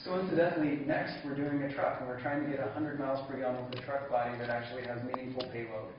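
A man's voice: medium pitch, level tone, fast talker, a little hiss or hum. Man giving a keynote in an echoing hall. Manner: enthusiastic, laughs along with the audience. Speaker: keynote speaker. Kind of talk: conference keynote speech. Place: large conference hall. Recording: echoey hall, lo-fi, from a distance.

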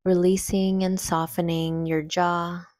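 A woman leading a guided meditation, giving gentle, unhurried instructions with long pauses between phrases. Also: fairly low pitch, level tone, dry recording, clean studio sound.

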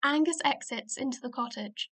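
A woman's voice: sits high, moderate pace, fairly bright tone. A woman reading stage directions as the narrator of a radio play in scripted narration.

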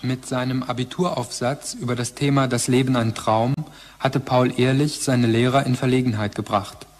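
An actor playing a sober narrator in a German radio play, reading scripted text in a measured, matter-of-fact way. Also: male voice, medium pitch, fairly even intonation, lightly acted.

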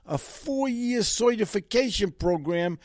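A man plays a shifty official with a New York Italian-American mobster drawl, pitched fairly high and in no hurry at all. He dodges and bristles in street-tough talk, recorded dry and close for a comedy sketch. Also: lively intonation, unhurried, a little mellow, no echo. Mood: defensive. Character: shifty male Saudi PR chief with a mobster manner.

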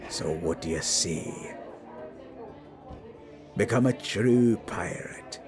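A man's voice: mid-range voice, pitched above the performer's own voice. Man putting on a hearty, roguish pirate captain. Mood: rousing, inviting. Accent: stage pirate. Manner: hearty, teasing, often chuckling, drawn-out words. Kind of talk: dramatic pirate audio roleplay.

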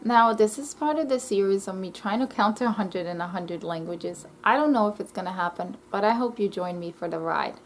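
A woman's voice: medium pitch, fairly quick, few pauses, a little hiss or hum. A young woman from Israel chatting on her bedroom webcam vlog, reading notes with frequent ums and self-corrections. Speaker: language vlogger. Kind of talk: casual educational vlog. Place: small bedroom, indoors. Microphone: laptop webcam mic.

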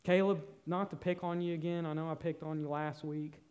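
A young man preaching a sermon on a church stage, earnest, shifting between loud emphasis and soft, near-whispered moments. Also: fairly high pitch, little pitch movement, fairly quick, smooth. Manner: paces the stage gesturing with both hands. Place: church stage in a large hall.

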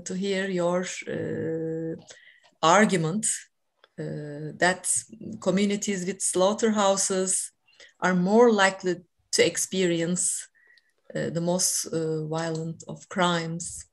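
A woman in her thirties moderating a conference on a video call, introducing the speaker in a hesitant, halting way. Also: fairly low pitch, level tone. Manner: hesitant, halting with many fillers. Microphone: webcam on a video call.

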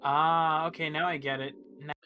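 A man's voice: fairly high pitch, little pitch movement, moderate pace, bright, forceful tone, slight background noise, rough recording. A man playing a tabletop roleplaying game, chatting casually and thinking aloud hesitantly. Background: music.

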